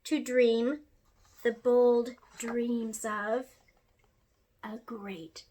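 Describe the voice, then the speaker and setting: A woman reading a rhyming children's picture book aloud in an expressive, sing-song way. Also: a little high, drawn-out words. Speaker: storyteller.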